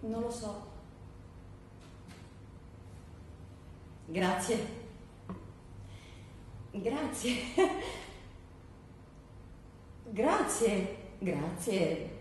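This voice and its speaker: A woman's voice: high-pitched, darkish tone. A woman playing an over-agreeable, desperate job applicant in her thirties, gushing and repetitive in a theatrical satirical monologue. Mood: gushing, nervous. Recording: very echoey stage, distant mic, faint noise.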